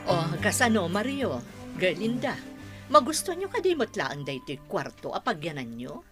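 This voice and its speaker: A woman's voice: fairly low pitch, very animated, moderate pace. A woman playing a kindly, generous older godmother in a radio drama. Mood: kindly, reassuring. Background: music.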